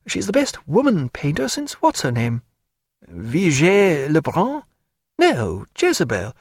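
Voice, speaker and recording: A man's voice: fairly high pitch, huge pitch swings, measured pace, breathy edge, dry recording, studio quality, pitched above the performer's own voice, exaggerated voice. A man reading a spirited, proud young woman in an audiobook, fiery and theatrical in manner, swinging from bitter to tender. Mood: mocking.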